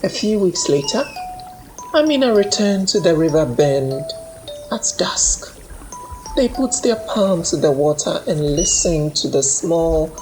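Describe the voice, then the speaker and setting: A woman narrating a scripted bedtime folktale in a calm, measured manner. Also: lowish voice. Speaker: storyteller.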